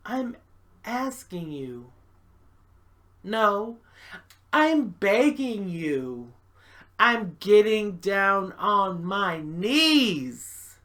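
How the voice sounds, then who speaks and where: A woman plays a frantic, prickly woman in a theatrical stage monologue, pleading with great urgency. Her delivery is slow and deliberate, and her pitch swings widely as she moves between hushed and forceful speech. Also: exaggerated voice. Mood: desperate begging. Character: self-described jerk woman, frantic, pleading against a marriage proposal.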